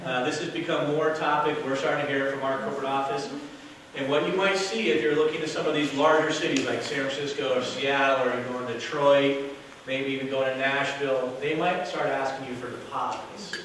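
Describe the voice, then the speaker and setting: A man giving a loud, casual workshop talk to an audience as a hotel sales director, with no microphone. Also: mid-range voice, steady tone, fairly quick. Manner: casual, chatty, with fillers. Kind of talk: workshop presentation to a live audience. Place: meeting room with an audience. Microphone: distant camera, no microphone. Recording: very echoey room from far off with noise.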